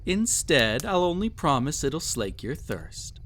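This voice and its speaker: Male actor as a cruel possessive emperor, slow drawn-out delivery, swooping intonation, haughty, silky, mocking, roleplay audio. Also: on the high side, sing-song, fairly bright tone, slight background noise. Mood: doting, mocking. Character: cruel, possessive, mocking young emperor pampering a captive pet. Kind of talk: scripted roleplay audio drama, addressed to listener.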